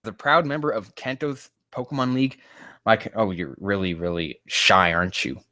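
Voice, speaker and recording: A man's voice: fairly low pitch, normal speed, bright, forceful tone, dry recording, clean studio sound. A man hosting a sarcastic reaction reading of fan fiction, with a profane, mocking manner prone to exasperation. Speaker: host.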